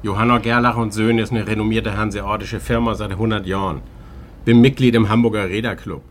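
An actor playing an urbane, evasive, self-important shipping company boss in a radio drama. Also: male voice, fairly low pitch, steady tone, brisk, lightly acted. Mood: smug, dismissive. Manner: dismissive, urbane, condescending.

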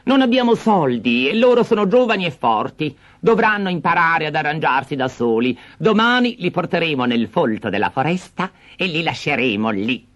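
A man doing a shrill, harsh stepmother. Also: sing-song, moderate pace, few pauses, highs cut off. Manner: sharp, scolding and impatient. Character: harsh, bossy, cruel stepmother.

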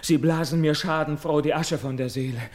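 A man's voice: on the high side, fairly even intonation, a little fast, a little mellow, natural voice. An actor playing a guilt-tormented, haunted man in a classical verse radio drama, with brooding, anguished theatrical declamation. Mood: bleak, bitter.

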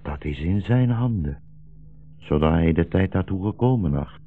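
An actor playing a gentle old blind rabbi, wounded and dying, slow and solemn with a gravelly voice, in a 1960s radio drama. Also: male voice, deep voice, animated, soft background music. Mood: reassuring, calm. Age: older. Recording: muffled 1960s radio broadcast recording.

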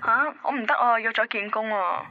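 A woman's voice: a little high, normal speed, muffled recording, a little hiss or hum, natural voice. An actress playing a guarded, reluctant young girlfriend in a Hong Kong Cantonese radio drama, defensive and weary of commitment. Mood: evasive. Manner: evasive, defensive.

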